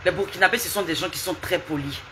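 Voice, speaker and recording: A woman's voice: on the low side, fast, bright, forceful tone, a little hiss or hum. A woman live streaming, lecturing and scolding someone with repeated rhetorical questions and asking viewers for hearts.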